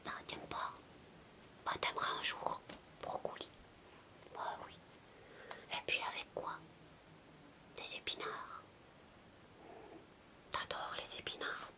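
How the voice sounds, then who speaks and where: Casting: woman to play a madcap babysitter for soft ASMR roleplay, whispery, unhurried, higher-pitched, coaxing and chatty with a small child. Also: close to an everyday voice. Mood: silly, amused. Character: zany playful babysitter entertaining a six-year-old child.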